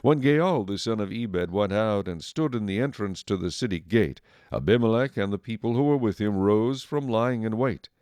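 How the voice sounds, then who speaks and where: A man's voice: on the low side, expressive, normal speed, hardly any pauses, a little mellow, no room sound. A man narrating a dramatized Bible as a scripted storyteller.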